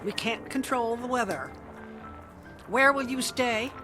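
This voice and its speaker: A woman's voice: fairly high pitch, unhurried, sharp tone, faint music behind. An actress playing a lonely, unhappy older grandmother in a wistful, plaintive radio drama performance. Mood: worried. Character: lonely, unhappy grandmother alone on Christmas Eve.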